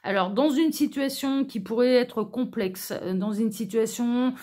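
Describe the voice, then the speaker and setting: Female tarot host, slightly high voice, informal and chatty, hesitant delivery with asides, speaking straight to viewers. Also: a little slow, darkish tone. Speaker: online tarot reader and channel host. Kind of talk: casual tarot reading to camera, addressing viewers.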